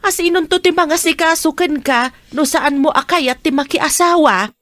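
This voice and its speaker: Fretful, high-voiced Ilocano mother. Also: normal speed, a bit bright, dry recording, clean studio sound. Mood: worried, pleading. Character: worried, nagging 45-year-old mother. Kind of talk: Ilocano radio drama acting.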